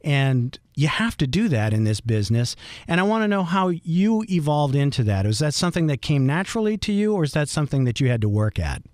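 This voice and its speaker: A man with a baritone voice hosting a podcast interview, asking polite, thoughtful, winding questions. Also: sing-song, a little fast, a little mellow, no room sound, studio quality. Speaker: podcast host.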